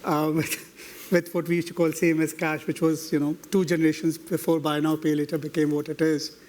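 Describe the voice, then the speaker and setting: A man with a fairly high voice speaks as a panelist at a conference. He lays out his thoughts confidently in ordered points, with a few restarts. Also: little pitch movement, fairly quick, darkish tone. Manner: confident, explanatory, structured points. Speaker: banking executive, panelist. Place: conference stage.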